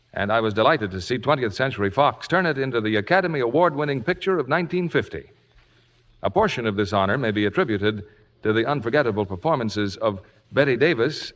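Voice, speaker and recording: A man presenting a 1950s radio theater show in a formal, polished, measured, scripted manner. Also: mid-range voice, fairly bright tone. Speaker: radio theater host and announcer. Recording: old radio broadcast with faint music.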